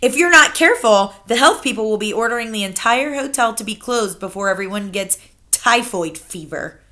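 Woman voicing a blunt elderly grandmother, forceful, scolding, bright, slightly raised pitch. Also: animated, moderate pace. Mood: fierce.

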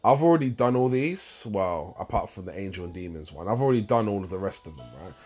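A man with a deep, slightly croaky voice talks viewers through a game tutorial. He rambles casually and explains each step with plenty of fillers. Soft music plays faintly underneath. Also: expressive, fast talker. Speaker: gaming channel host.

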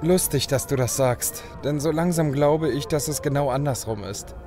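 A man's voice: on the high side, moderate pace, dark tone, faint music behind, natural voice. An actor playing a cynical, proud young male hacker turning elf in an audio drama, brooding and self-assured. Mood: bitter, weary. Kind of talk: German audio drama acting.

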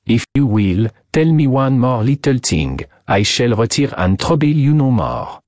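A man voicing a theatrical, excitable French detective with a French accent, gallant manners and broken English in a story reading. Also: medium pitch, measured pace, no room sound, studio quality, natural voice. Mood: eager, polite.

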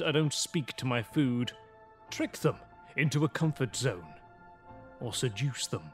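An actor plays an ancient male vampire, a cold and arrogant predator in a gothic audio drama. He speaks slowly and haughtily, with menace in each measured phrase. Music plays behind his voice. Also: medium pitch.

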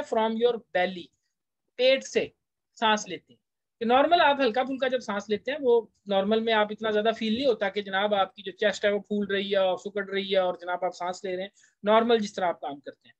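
A man's voice: high voice, no echo. A man with a Pakistani accent lectures in a conversational, explanatory way, going through a text point by point. The recording is dry and somewhat dull, with a lo-fi edge. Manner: conversational, switches between languages to translate.

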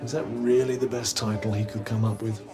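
An actor playing a dry, wry male companion in a video game, speaking in a deadpan manner. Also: lowish voice, fairly quick, lightly acted. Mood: sardonic. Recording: music behind the voice.

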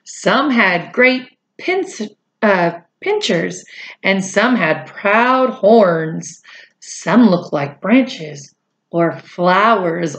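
A middle-aged woman reading a picture book aloud to children expressively, with chatty asides. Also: mid-range voice. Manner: expressive, drawn-out delivery addressed to young children. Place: small indoor room at home.

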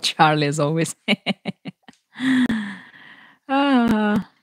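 A young woman with a naturally low voice, chatting casually with viewers between songs in a small home studio, speaking softly and haltingly into a close boom mic. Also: big pitch swings, no echo. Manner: soft, halting, many fillers. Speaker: singer hosting a live music stream. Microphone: large broadcast mic on a boom arm, close.

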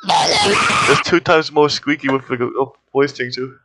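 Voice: mid-range voice, unhurried, pushed and bright, shouting, a little hiss or hum. A gamer chatting casually during play, teasing and joking with a friend. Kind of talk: casual chat while gaming.

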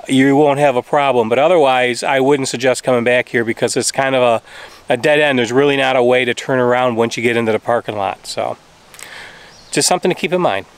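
A man's voice: mid-range voice, fairly quick, smooth. A middle-aged man talking casually to a handheld camera outdoors, giving practical advice about his campground. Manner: plain, practical, explaining to viewers. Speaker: travel vlogger. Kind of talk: casual travel vlog talk. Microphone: camera held at arm's length.

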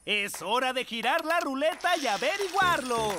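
A man's voice: very high voice, animated, moderate pace, background noise. An actor playing a cheerful male teacher in a dubbed children's cartoon, speaking with jolly enthusiasm. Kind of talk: Spanish cartoon dub. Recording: music bed behind the voice.

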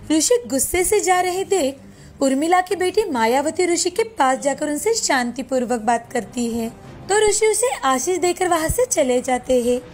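A woman's voice: sits high, darkish tone. A woman narrator reading a scripted folktale. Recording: music bed behind the voice.

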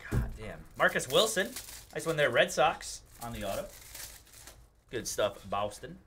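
Bright-voiced young man calling card pulls. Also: medium pitch, big pitch swings, measured pace, slight background noise. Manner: rattles off player names while chatting. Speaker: card break host. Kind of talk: live card break commentary. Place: studio with table, indoors.

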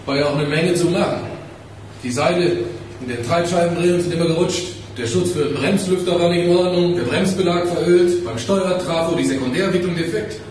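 Actor as weary mechanic in a cavernous echo. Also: male voice, a little high, a little fast, a little mellow, natural voice. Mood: matter-of-fact. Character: weary male lift mechanic, matter-of-fact worker. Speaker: radio drama actor. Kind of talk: naturalistic 1980s German radio crime drama acting. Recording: very echoey, distant, some noise.

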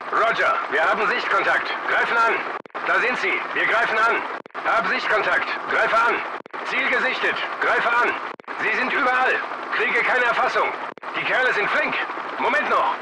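High, urgent attack pilot played by a man. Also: normal speed, pauses often, very noisy, natural voice. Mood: excited, urgent. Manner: tense short callouts. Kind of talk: video game military radio chatter.

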